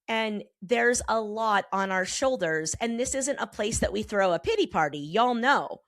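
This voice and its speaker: A slightly hoarse woman in her forties, a therapist hosting her own podcast, talking in a candid, emphatic way with casual swearing. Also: medium pitch, lively intonation, normal speed, fairly bright tone, no echo, clean studio sound. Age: 40s. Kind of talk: personal podcast monologue. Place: Colorado.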